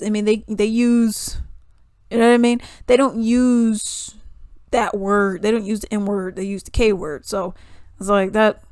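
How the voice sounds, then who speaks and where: A woman talking casually about a fight, animated, jumping between loud emphasis and hushed asides. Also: on the high side, no echo, clean studio sound. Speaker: YouTube commentator. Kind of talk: casual commentary reading news and comments.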